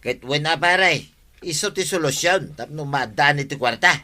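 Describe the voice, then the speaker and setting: An actor as a sharp-voiced, pleading village man. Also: on the high side, expressive, normal speed. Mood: insistent. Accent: Filipino, Ilocano. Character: earnest, persistent villager seeking farming help, plain-spoken buddy. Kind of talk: comic radio drama acting.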